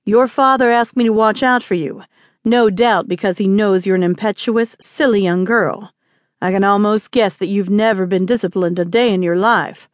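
A woman's voice: medium pitch, moderate pace, close to an everyday voice. A stern frontier marshal as voiced by a woman narrator in an audiobook western. He gives orders firmly and with irritation, used to being obeyed. The sound is dry, without room echo. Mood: firm, controlled. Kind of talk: acted audiobook dialogue.

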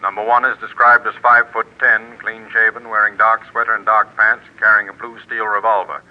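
A man's voice: lowish voice, brisk, natural voice. A man playing a terse police dispatcher. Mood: official. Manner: clipped, official monotone. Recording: dull old radio broadcast.

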